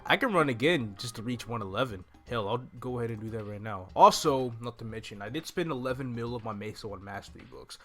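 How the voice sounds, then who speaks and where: A man's voice: medium pitch, lively intonation, quick pace, few pauses, fairly bright tone. A young man giving an easygoing, casual video game walkthrough, thinking aloud into a close desk microphone. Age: young adult. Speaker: gaming video host. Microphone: desk microphone close to the mouth. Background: game music.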